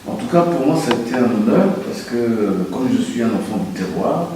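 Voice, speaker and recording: A Congolese-born music producer answering interview questions in a hesitant way, with many fillers and restarts. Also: male voice, medium pitch, lively intonation, brisk, mellow, slight background noise. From Republic of the Congo. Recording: echoey room, far from the mic.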